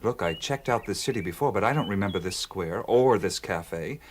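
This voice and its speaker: An actor playing a bewildered, earnest adventurer with an American accent in a radio drama, hesitant and stammering. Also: male voice, deep voice, lively intonation, moderate pace, slight background noise.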